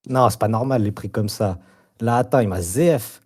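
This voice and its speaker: A man as a husky, broke young guy. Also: lowish voice, moderate pace, a little mellow. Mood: indignant. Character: broke, mouthy young working-class man. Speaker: amateur role-player on a stream. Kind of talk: improvised comedy role-play.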